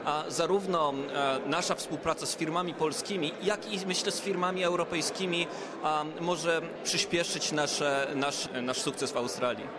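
A man representing his company, answering interview questions hesitantly with many filled pauses. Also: fairly high pitch, very noisy. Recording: crowd chatter behind.

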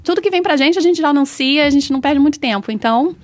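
A woman with a Brazilian accent, a samba school organizer chatting eagerly as an interview guest, restarting her phrases as she goes. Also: high-pitched. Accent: Brazilian Portuguese. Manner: enthusiastic, talks in quick restarting runs. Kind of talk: casual interview chat.

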